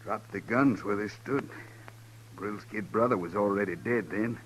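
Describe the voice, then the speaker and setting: An actor playing a beaten, resigned townsman who talks haltingly and plainly in a 1950s radio Western. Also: fairly low pitch, close to an everyday voice. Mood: weary, resigned. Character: beaten, weary male townsman held hostage. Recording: muffled old radio recording, steady hiss.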